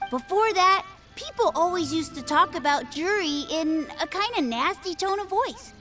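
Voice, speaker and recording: A woman's voice: extremely high pitch, expressive, unhurried, fairly bright tone, soft background music, full character voice. Eager little boy. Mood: chatty. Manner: eager and boastful. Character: young boy playing a sword master, eager and boastful. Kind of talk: video game voice acting.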